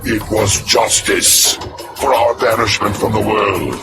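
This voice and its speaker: An actor playing an ancient, vengeful demon lord in a video game, speaking with haughty, grandiose menace. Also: male voice, on the low side, fairly even intonation, natural voice. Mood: bitter indignation. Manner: grandiose, slow, deliberate, menacing. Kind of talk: theatrical video game villain acting. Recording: loud background music.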